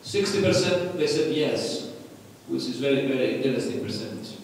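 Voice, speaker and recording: A man's voice: mid-range voice, expressive, moderate pace, a little hiss or hum. A man with a Greek accent giving an interactive conference lecture, quizzing the audience in non-native phrasing. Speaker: conference speaker. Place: large conference hall. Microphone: lectern mic heard from afar. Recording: echoey hall from far off.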